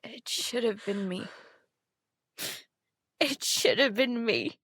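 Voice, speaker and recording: An actress playing a frightened, guilt-stricken girl in an audio drama, speaking in a halting, raw, emotional way with some creak in her voice. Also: on the high side, a bit bright, no room sound, heavily acted. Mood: anguished guilt. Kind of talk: audio drama acting and first-person narration.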